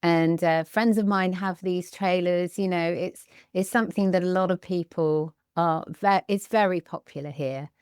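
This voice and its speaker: A woman's voice: lowish voice, fairly even intonation, moderate pace, few pauses, darkish tone, clean tone, dry recording, studio quality. A woman hosting an interview, asking questions with enthusiasm. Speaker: podcast host.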